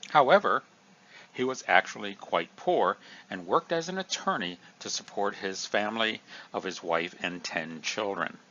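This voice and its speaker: Lively, bright-voiced male history teacher. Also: lowish voice, moderate pace, highs cut off. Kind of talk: recorded review lesson.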